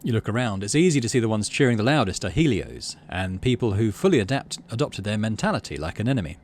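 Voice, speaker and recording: A man, middle-aged, hosting a game playthrough, mixing casual commentary with expressive story reading. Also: on the low side, quick pace, a little hiss or hum. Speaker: let's play host.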